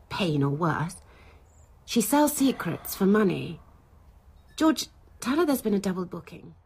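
An actress playing a forthright, disapproving middle-aged clinic manager, emphatic in a radio drama. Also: female voice, lowish voice, creaky, darkish tone, husky. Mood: scornful, warning. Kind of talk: British radio drama acting.

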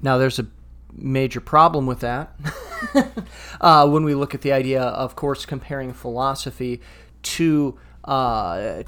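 Man, mid-pitched, earnest podcast co-host who restarts his sentences and stammers while thinking aloud. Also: fairly bright tone. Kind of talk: casual discussion podcast.

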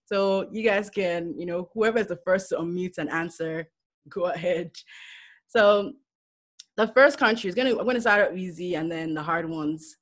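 Woman in her 20s hosting a call, giggly, laughs a lot, middle pitch. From Nigeria. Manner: giggly, laughs between lines. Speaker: podcast host. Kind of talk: casual group chat.

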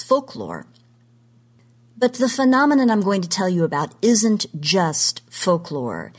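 An American woman with a slightly low voice presents a scripted podcast segment. She is articulate and tells her material like a storyteller, placing her emphasis with care. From Oklahoma, United States. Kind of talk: scripted talk, storytelling lecture.

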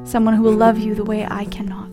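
A woman's voice: medium pitch, completely flat delivery, quick pace, over music, close to an everyday voice. A woman playing a devoted, sad but firm young woman in a period audio play, with a subdued, earnest delivery. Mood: sorrowful, resolute.

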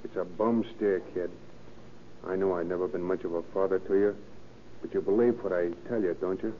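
A man's voice: low voice, fairly even intonation, fairly quick, close to an everyday voice. An actor playing a rueful burglar father in a 1930s radio drama, earnest and slangy, with an American accent. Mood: regretful, earnest. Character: rueful jailbound burglar father warning his young son. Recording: muffled, faintly noisy 1930s radio recording.

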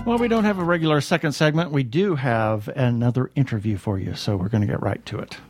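A man's voice: medium pitch, quick pace, over music. A man co-hosting a podcast, making announcements in a casual, chatty style. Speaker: podcast co-host.

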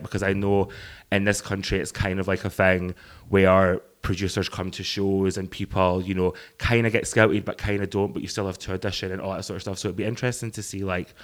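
A man with a croaky voice, a drag performer and producer, chatting casually on a podcast with frequent ums. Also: low voice.

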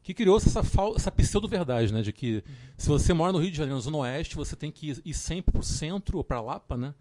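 Joking host, mellow voice. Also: male voice, a little high, animated, a little fast, no room sound. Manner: joking, emphatic. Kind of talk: casual live-stream chat.

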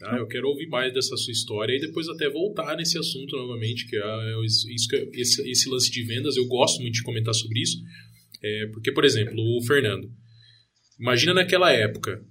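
A man interviewing his guest, asking follow-up questions and joking in a relaxed way. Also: medium pitch, a little fast, breathy edge. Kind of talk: conversational interview.

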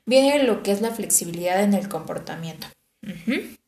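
Woman giving a lecture, lots of eh and ujú, mid pitch, kinda roomy echo. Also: lively intonation, darkish tone. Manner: measured, with frequent "eh" fillers and "ujú" affirmations. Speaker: teacher explaining language learning. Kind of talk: spoken explanation, like a lecture.

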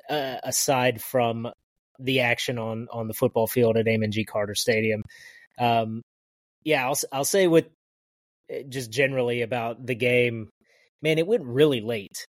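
A man's voice: medium pitch, no echo. A man co-hosts a casual sports podcast, rambling through his thoughts with plenty of restarts. His voice comes through dry and close on a podcast mic, with no room sound. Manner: rambling, many restarts and fillers. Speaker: podcast co-host.

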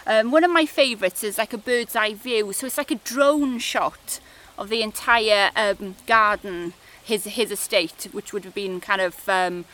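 A woman's voice: medium pitch, measured pace, a bit bright. A Welsh woman in her forties chatting in an interview about her work, with lots of "kind of" fillers. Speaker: project officer. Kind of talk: casual interview chat.

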